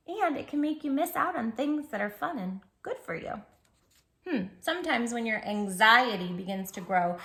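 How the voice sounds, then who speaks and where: Bright-voiced woman hosting children's storytime. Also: a little high, gravelly. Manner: affectionate, addressing the child listener directly and intimately. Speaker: children's storytime host and read-aloud reader. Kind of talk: children's storytime talk and picture-book read-aloud.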